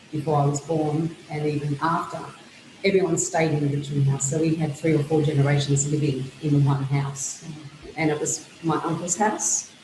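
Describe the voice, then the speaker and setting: A woman's voice: low-pitched, echoey room, noisy background. A woman author, whose was Greek, thoughtfully recalling her childhood in an interview. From Australia. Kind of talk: reflective interview answers.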